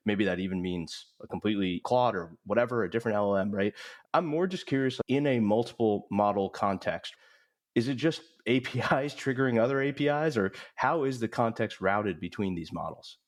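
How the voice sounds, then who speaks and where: A man's voice: on the low side, a little fast. A man hosting a podcast, asking curious, loosely phrased technical questions. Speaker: podcast host. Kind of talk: interview questions.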